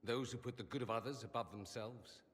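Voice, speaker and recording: An actor playing a vain, witty mage in a fantasy video game, with an arch, sardonic and theatrical delivery. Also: male voice, mid-range voice, a little fast, fairly bright tone, in a large hall, slight background noise, natural voice. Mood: subdued grief. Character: witty, vain mage from a fantasy empire, wryly self-aware. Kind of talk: dramatic video game dialogue.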